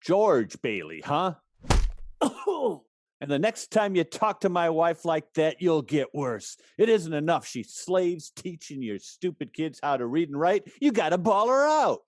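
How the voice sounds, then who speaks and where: A man's voice: fairly high pitch, sing-song, measured pace, dry recording. Guy doing pissed off bully husband in old radio play, voice all over the place, dry audio. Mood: belligerent. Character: angry, bullying husband out for revenge. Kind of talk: old-time radio drama acting.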